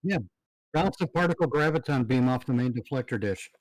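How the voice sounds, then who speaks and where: A man's voice: medium pitch, fairly quick, dry recording, rough recording. Joking man in casual chat, dry sound. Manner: joking, quipping with pop culture references. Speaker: livestream panel member.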